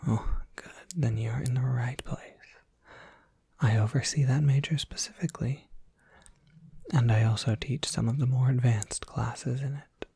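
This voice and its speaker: An ASMR performer playing a courteous, soft-spoken university professor, whispering in formal, measured phrases with a breathy, husky voice. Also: medium pitch, fairly even intonation, dry recording, full character voice. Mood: welcoming, formal. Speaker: professor overseeing a college major.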